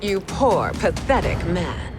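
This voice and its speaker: An actress playing a cruel, gloating empress who taunts in a haughty, deliberate way in a video game. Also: female voice, on the low side, sing-song. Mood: mocking. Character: cruel, gloating villainous empress, coolly mocking. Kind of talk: video game cutscene acting. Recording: loud music over the voice.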